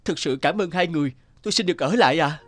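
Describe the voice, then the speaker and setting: A young mandarin from an animated folk tale acted by a man. The voice sits high and moves expressively, performed theatrically with faint music underneath. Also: rapid-fire, close to an everyday voice. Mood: grateful. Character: arrogant young male mandarin, later humbled and contrite.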